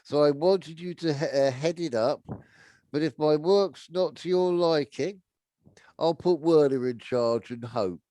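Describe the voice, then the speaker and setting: A man's voice: a little high, unhurried, warm tone, close to an everyday voice. A man reading the part of a smug, callous military commander in a storybook, taunting and sneering as he talks.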